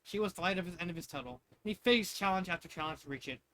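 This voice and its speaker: A man's voice: high voice, animated, rapid-fire, a bit bright, slight background noise. A man reading a story aloud in a loose, jokey way, often breaking off into casual asides. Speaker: fanfiction reader.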